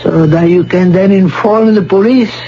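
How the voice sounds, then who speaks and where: An actor playing a solemn, devout Incan temple priest who speaks formally and reverently in an old-time radio drama. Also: male voice, high-pitched, steady tone, warm tone. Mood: stern, measured. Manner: slow, formal, reverent speech. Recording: muffled, noisy vintage radio broadcast.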